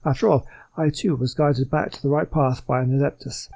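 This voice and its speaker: A man's voice: medium pitch, steady tone, a little fast, vocal fry, mellow. A man voicing an earnest, polite reformed swindler from a video game, reading the dialogue in a wordy, scholarly way.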